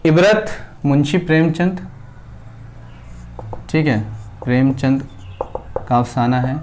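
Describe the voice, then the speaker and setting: A male teacher giving a classroom lecture at a board, dictating names slowly word by word and answering his own questions. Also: medium pitch, darkish tone, slight background noise. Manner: asks the class questions and answers them himself.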